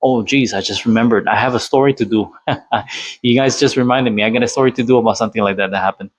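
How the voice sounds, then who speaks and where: A man's voice: mid-range voice, fast talker, dry recording. A man from Colombia giving casual, opinionated commentary as a channel host, rambling with many fillers and restarts. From Colombia, Cali. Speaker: channel host reacting to a clip.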